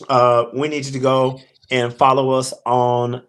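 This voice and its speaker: Energetic male host, mid-pitched, keeps things moving with quick asides. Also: clean tone. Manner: upbeat, promotional, quick asides. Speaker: podcast host. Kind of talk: casual podcast hosting.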